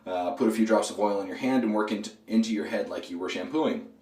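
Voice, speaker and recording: A man with a low, hoarse voice from a throat cold, chatting in a relaxed, rambling way with plenty of ums and uhs. He talks a bit away from the mic in a bathroom, so the sound bounces around the tiled room. Faint running water and household noise sit behind him. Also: fast talker, roomy sound, far from the mic. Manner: relaxed, rambling, many fillers. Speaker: shaving video host. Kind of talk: casual product review while shaving.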